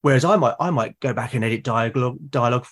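A man's voice: medium pitch, fast. A man in a conversational interview as a podcast guest, explaining his creative process and often repeating words as he thinks aloud. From Britain.

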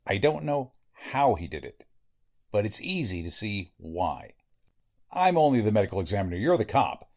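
A man performs a blunt male medical examiner in a vintage radio drama. His voice sits fairly low. He is grave and deliberate, weighing out his lines. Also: very animated, a little mellow. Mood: blunt, accusing. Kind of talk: 1940s radio drama acting.

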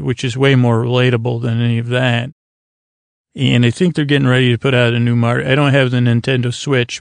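Gravelly man, rambling sleep podcast host. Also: medium pitch, no echo. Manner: halting and digressive, trailing off and circling back. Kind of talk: rambling, meandering bedtime monologue.

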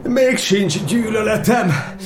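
An actor playing a beaten, imprisoned cardinal, lamenting in theatrical, half-sung verse with drawn-out melodic phrasing in a stage-style audio drama. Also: male voice, high voice, animated, background noise. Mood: suffering, defiant. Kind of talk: chanted, sung monodrama verse. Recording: echoey, loud music over the voice.

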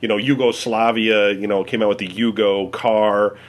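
Low-voiced American man, rambling and profane. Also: fairly even intonation, normal speed. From United States. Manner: rambling, profane, many fillers. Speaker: interviewee. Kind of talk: casual chat.

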